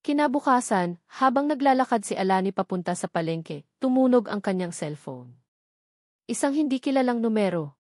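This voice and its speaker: A woman's voice: a little high, expressive, normal speed, a little mellow, dry recording, clean studio sound. A woman reading a scripted love story as a dramatic narrator.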